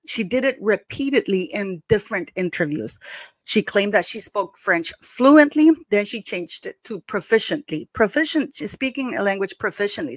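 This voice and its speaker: A woman with a slight Spanish accent hosts opinionated commentary at a mid pitch. She sounds indignant and emphatic and doubles up words for stress. The recording is dry, with no room sound. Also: a bit bright, no room sound. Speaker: commentary channel host.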